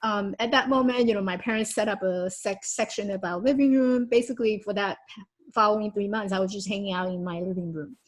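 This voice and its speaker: A woman's voice: mid-range voice, steady tone, a little fast, rough recording. A woman from Taiwan, a confidence coach, telling a personal story as a podcast guest in a casual, conversational way with frequent "like" and "you know".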